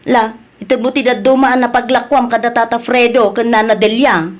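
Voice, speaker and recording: A woman's voice: fairly high pitch, steady tone, moderate pace, lightly acted. An actress playing an exasperated village wife, chiding and exclaiming at her shameless man in an Ilocano comedy radio drama.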